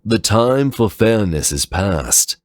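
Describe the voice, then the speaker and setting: A man voicing a stern, proud guardian leader in a formal, deliberate, threatening way for a dramatic story. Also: on the low side, big pitch swings, no room sound, clean studio sound, voice pushed up. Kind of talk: dramatic fan fiction reading.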